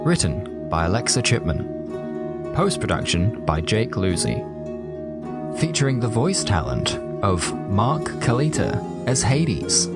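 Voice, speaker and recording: Casting: scripted credits announcer, expressive intonation, prominent plucked guitar music underneath. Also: mid-range voice, measured pace, pauses often. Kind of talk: scripted narration. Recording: loud guitar music behind.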